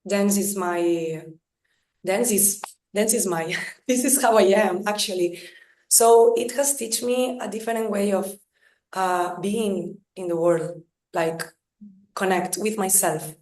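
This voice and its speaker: A woman from Spain speaks as a podcast guest. She is emphatic and animated, pressing her points with "come on" and "right?" Her mid-pitched voice carries a noticeable room echo. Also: slow pace. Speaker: guest dancer. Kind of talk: casual conversational interview. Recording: echoey room sound.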